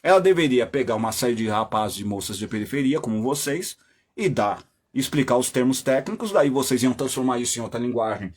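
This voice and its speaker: A Brazilian man hosting a podcast, delivering an opinionated monologue straight to his listeners and jumping into high mocking voices when he quotes people. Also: animated, fairly quick, husky. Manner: addresses listeners directly as "my dear". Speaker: podcast host.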